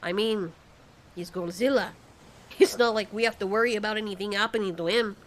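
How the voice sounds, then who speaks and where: A woman's voice: medium pitch, brisk, close to an everyday voice. Woman voicing a laid-back, sarcastic male flying monster. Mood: unbothered. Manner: casual, wry.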